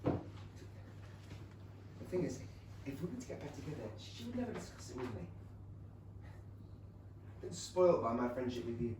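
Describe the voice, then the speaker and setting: Male actor as devout, anguished young gentleman, volatile and earnest; lively higher voice, distant in a theatre. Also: normal speed, pauses often, close to an everyday voice. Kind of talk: staged play reading. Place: small theatre, recorded from a distance. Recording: roomy, distant theatre recording, faint noise.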